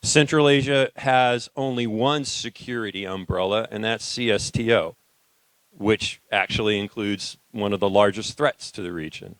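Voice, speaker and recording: Guy moderating a panel, smooth clear voice, dry sound, lots of ums. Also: fairly low pitch, moderate pace, a bit bright, dry recording, rough recording. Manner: loose, conversational, frequent fillers and restarts. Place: conference hall.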